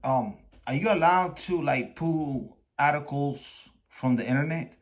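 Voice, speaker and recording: A man with a Spanish accent, hosting a livestream and chatting casually with an AI, halting and full of fillers. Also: on the high side. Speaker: livestream host. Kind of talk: casual chat with an AI.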